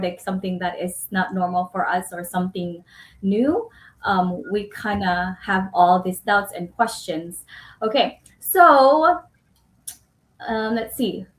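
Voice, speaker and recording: A woman's voice: medium pitch, echoey room. A woman hosting a casual live-stream chat, chatty, laughing often and restarting her sentences.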